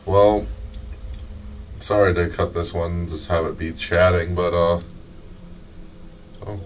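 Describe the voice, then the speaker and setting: A man's voice: sits low, fairly even intonation, slow pace, a little hiss or hum. A man with an American accent chatting casually on a gaming livestream, rambling, joking and swearing in a sardonic way. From Michigan, United States. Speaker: game livestreamer. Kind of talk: casual rambling banter between friends. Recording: distant mic with music playing.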